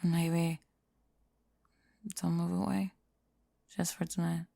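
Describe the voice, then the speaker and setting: A woman playing a prickly but secretly affectionate tomboy friend in an ASMR roleplay, sleepy and often whispering, deadpan and grudgingly tender. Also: on the low side, slow, vocal fry, no room sound, studio quality, voice pushed down, full character voice. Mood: drowsy, tender. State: drowsy. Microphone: close ASMR microphone.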